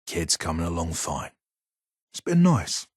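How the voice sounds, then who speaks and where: An actor playing a gruff, irritable older ghoul weapons engineer in a video game, with a British accent, a gravelly rasp and a curt, guarded manner. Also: male voice, low-pitched, very animated, a little slow, dry recording, clean studio sound, exaggerated voice. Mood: gruff, reminiscing. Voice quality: raspy, gravelly. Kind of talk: video game character dialogue.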